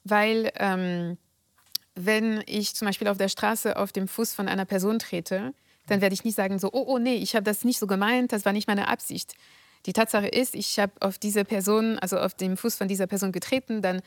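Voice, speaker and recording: A woman from France speaking German with a French accent, giving measured, hesitant interview answers. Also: medium pitch, steady tone, no echo, studio quality. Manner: measured and deliberate, with frequent hesitations. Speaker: guest.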